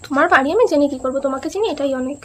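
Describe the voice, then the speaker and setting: A woman's voice: sits high, animated, racing, a crowd in the background. A young woman chatting casually on a prank call, teasing and insistent, with asides to viewers. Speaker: prank caller, vlogger.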